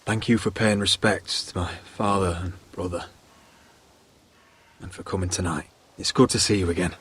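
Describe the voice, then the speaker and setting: A man's voice: low voice, fairly even intonation, moderate pace, a bit bright, husky. A man voice acting a resolute young lord, protective of his family, in a fantasy game drama, with a solemn, firm, formal delivery. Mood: solemn, sincere.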